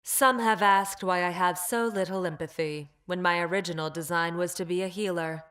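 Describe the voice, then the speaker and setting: A coldly logical android scientist from a video game, played by a woman. Her female voice sits on the low side, and she speaks in formal, exact phrases. She is detached and clinical, a former healer with a ruthless streak. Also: steady tone, moderate pace, a bit bright, lightly acted. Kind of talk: video game character voice lines.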